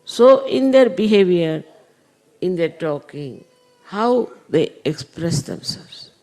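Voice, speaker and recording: A middle-aged man speaks slowly in a raised, gravelly voice. His spiritual talk rises and falls in lively swings of pitch. He explains unhurriedly, pausing often on "uh". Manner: unhurried, explanatory, with many "uh" pauses. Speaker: spiritual teacher.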